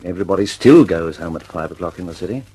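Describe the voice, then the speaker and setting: A frustrated British inventor in a mid-century radio play. The voice is roughened and very animated in pitch. He speaks in clipped, earnest phrases and sometimes stammers, dogged throughout. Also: fast. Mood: weary, deflated. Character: stubborn, frustrated middle-aged male engineer and inventor.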